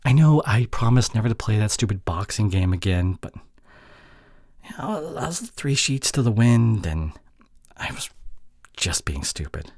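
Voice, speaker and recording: Man acting a deep, husky, sheepish young boyfriend. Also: sing-song, no room sound, clean studio sound, full character voice. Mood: sheepish, defensive. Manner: soft, halting, pleading delivery with breathy drops toward a whisper. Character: sheepish, affectionate young boyfriend apologizing to his long-distance partner. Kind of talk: intimate boyfriend roleplay audio drama.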